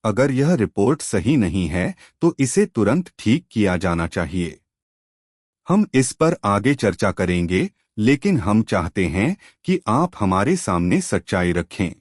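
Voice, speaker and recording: A man's voice: fairly low pitch, sing-song, unhurried, no echo, studio quality. A man voicing a stern but fair, formal company boss in a moral story.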